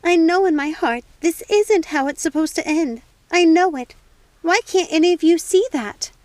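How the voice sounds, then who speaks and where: A woman's voice: very high-pitched, normal speed, a little mellow, dry recording, pitched above the performer's own voice. A woman voicing a distraught young woman in a storybook, pleading and defiant with urgent, impassioned delivery. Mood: indignant.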